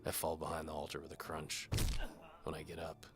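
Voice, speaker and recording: A man narrating an audio drama in the first person as a world-weary mercenary detective, with a wry, deadpan, gravelly delivery. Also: extremely low pitch, a little fast. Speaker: narrator and lead actor. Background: music.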